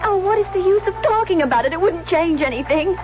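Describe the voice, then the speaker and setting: A woman's voice: extremely high pitch, expressive, quick pace. An actress playing a jealous, obsessive young woman in an intense, theatrical 1970s radio drama style. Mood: bitter, menacing. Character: jealous, obsessive young bride-to-be turned murderess. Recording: dull vintage radio recording with music.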